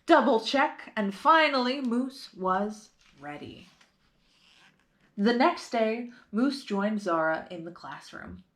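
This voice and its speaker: A woman reads a picture book to young children as a storytime host. Her delivery is slow and expressive, with a lively swing in pitch. She addresses the children directly and simply. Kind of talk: children's read-aloud with questions to young listeners.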